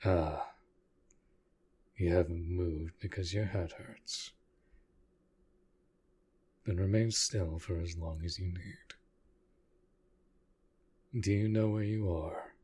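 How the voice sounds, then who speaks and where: A man playing an aloof, commanding yet protective vampire master in an intimate roleplay audio drama, speaking in a formal, measured way. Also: low-pitched, fairly even intonation, mellow, slightly rough, exaggerated voice. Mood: coolly caring. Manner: formal, measured, deliberate speech.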